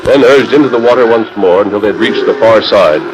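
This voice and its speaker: A man's voice: on the low side, brisk, music in the background, lightly acted, low-quality recording. A man narrating a Western adventure as announcer, in the scripted style of 1940s radio. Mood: measured. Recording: 1940s radio transcription disc.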